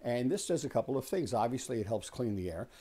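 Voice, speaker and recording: A man in his sixties giving a casual, unhurried explanatory tour as the host, talking conversationally in a small indoor workshop. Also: mid-range voice, sing-song, warm tone, slightly rough. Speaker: host of a woodworking shop tour.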